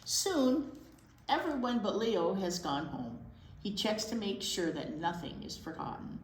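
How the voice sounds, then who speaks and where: A woman's voice: medium pitch, very animated, measured pace. A woman teacher reading a picture book aloud to children, with casual chatty asides. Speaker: library storytime teacher. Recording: echoey room with faint noise.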